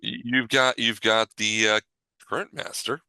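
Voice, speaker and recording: Podcast guy, very bright punchy voice, gravelly fry, halting and repeating himself, chatting casually. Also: medium pitch, steady tone, dry recording, low-quality recording. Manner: halting, repeats and reworks own phrases. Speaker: podcast co-host. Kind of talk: casual unscripted chat.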